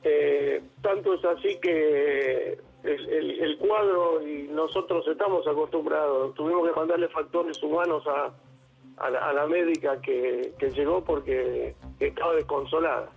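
A man with an Argentine accent, an emergency service director speaking over the phone in a halting way, with many fillers and restarts. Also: on the high side, fairly even intonation, creaky, slightly rough. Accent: Argentine Spanish. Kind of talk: phone interview. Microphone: telephone. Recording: tinny phone line.

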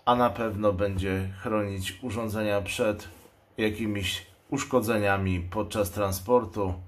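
A man giving a measured, matter-of-fact unboxing commentary as a channel host. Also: on the low side, steady tone. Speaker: product reviewer and channel host. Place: indoors at a table. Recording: clear background noise, crinkling packaging. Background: noise, plastic packaging crinkles and clicks.

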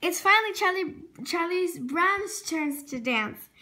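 A very high, bright female voice reads a children's storybook aloud. Her delivery is expressive, with words sometimes stumbled over and then corrected. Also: a little slow. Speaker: storybook reader.

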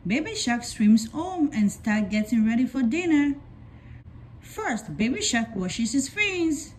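A woman reading a children's picture book aloud in a deliberate, simple storytelling way for young children. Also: fairly high pitch, big pitch swings, slight background noise. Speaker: storybook reader.

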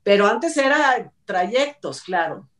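A woman's voice: medium pitch, big pitch swings, takes it slowly, crowd noise. A middle-aged woman with a Mexican accent giving casual commentary as a panelist on an entertainment show. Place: indoor room, video call. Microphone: video call mic at a distance. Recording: echoey room, distant mic.

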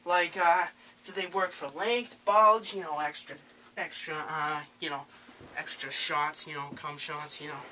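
A man's voice: a little high, steady tone, measured pace, bright, forceful tone. A young man making a prank phone call in a rambling, hesitant, overfamiliar way, recorded by a handheld camera in a small room. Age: young adult. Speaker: prank caller. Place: small cluttered room indoors. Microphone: handheld camera a few feet away. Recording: noisy amateur camera audio, clicks.

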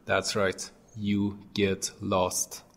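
Thirties Iranian-accented host, low and very even, steady advice over background music. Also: male voice, drawn-out words. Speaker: tutorial channel host. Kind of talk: scripted educational talk to camera. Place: home studio with monitors and posters. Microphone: boom-arm podcast mic. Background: soft background music.

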